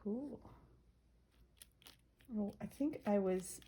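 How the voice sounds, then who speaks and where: A woman's voice: medium pitch, drawn-out words, warm tone, a little hiss or hum. A woman with a nasal voice, casually narrating each step of a crafting demonstration. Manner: chatty, narrates each step while working.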